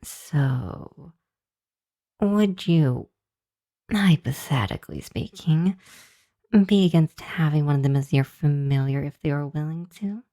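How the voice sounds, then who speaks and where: Female actor for nervous hellhound girl, ASMR monster roleplay. Deep for a girl, slow, apologetic, hesitant. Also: warm tone. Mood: cautious, hesitant. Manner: hesitant, sheepish, stammering. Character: nervous, apologetic young hellhound girl seeking work as familiar. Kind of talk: ASMR roleplay addressed to the listener.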